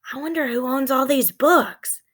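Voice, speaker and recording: A woman's voice: high voice, normal speed, fairly bright tone, voice pushed up. A woman voicing a sensible, cautious eight-year-old boy, reluctant and fretful, in a children's storybook read-aloud. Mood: wary.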